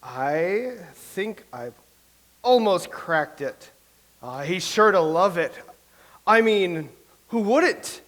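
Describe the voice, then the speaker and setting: An actor playing a nervous, self-doubting Elizabethan playwright who stammers and speaks theatrically in a staged reading. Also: male voice, high voice, lively intonation, very slow, fairly bright tone, heavily acted. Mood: fretful. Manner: theatrical, fretful, stammering soliloquy. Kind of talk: staged reading of a comedy play.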